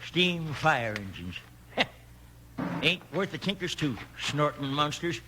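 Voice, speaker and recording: A man's voice: a little high, sing-song, takes it slowly. A man playing a cantankerous, rustic old-time townsman in a 1950s western radio drama. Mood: scornful, grumbling. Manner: grumbling, rustic. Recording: noisy vintage radio recording.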